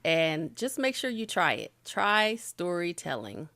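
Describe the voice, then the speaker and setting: A middle-aged woman talks through creator tips in an easygoing, chatty way, laughing lightly and restarting her sentences as she goes. Her voice sits a little low, and the recording is dry with no room sound. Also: big pitch swings, a little slow, a bit bright, no room sound. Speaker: YouTube creator giving tips. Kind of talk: casual spoken tutorial.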